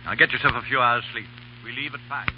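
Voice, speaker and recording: An actor playing a stern, commanding army colonel with clipped military speech in a 1930s radio drama. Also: male voice, medium pitch, steady tone, fast talker, close to an everyday voice. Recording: dull, hissy 1930s radio recording.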